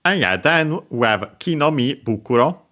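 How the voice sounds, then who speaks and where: A man explaining Japanese grammar, pausing often with filler sounds as he works through each word. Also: mid-range voice, big pitch swings, sharp tone. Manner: hesitant, many filler sounds, careful explanation. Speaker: Japanese language teacher. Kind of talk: explaining grammar over gameplay.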